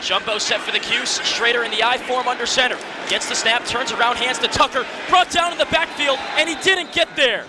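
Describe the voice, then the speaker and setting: A man's voice: very high-pitched, a little fast, few pauses. A man in his early twenties calling college football live on radio in short, vivid, present-tense phrases. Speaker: play-by-play announcer. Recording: stadium crowd and loud background noise.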